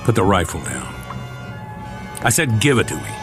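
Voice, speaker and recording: An actor playing a weary, sardonic soldier in a radio drama, gruff but not cruel, with a wry, bossy, colloquial way of talking. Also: male voice, on the low side, lively intonation, normal speed, close to an everyday voice. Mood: firm, weary. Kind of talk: dramatic radio acting, often talking to himself. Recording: studio radio drama with music behind.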